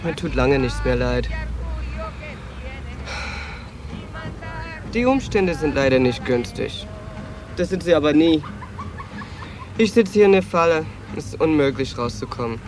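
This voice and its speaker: An actor playing a sassy, world-weary transgender prostitute, flirtatious, candid and wistful, in a radio drama. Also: male voice, fairly high pitch, expressive, moderate pace, background noise. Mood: wry, resigned. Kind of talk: 1980s German radio drama acting.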